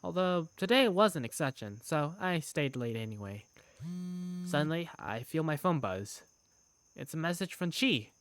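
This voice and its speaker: A woman's voice: low-pitched, expressive, moderate pace, no echo. A woman playing an affectionate young working big sister, narrating her own story in a visual novel fan dub. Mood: weary, reflective. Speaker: narrator and protagonist. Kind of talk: first-person visual novel narration and dialogue.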